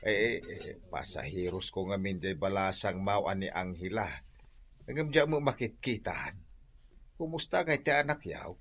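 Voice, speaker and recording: A man's voice: low voice, expressive, normal speed, a bit bright, exaggerated voice. A man acting an older, teasing Filipino driver with an Ilocano accent in a comedy radio drama. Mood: friendly chatting. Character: older male jeepney driver, teasing confidant of a lovesick friend.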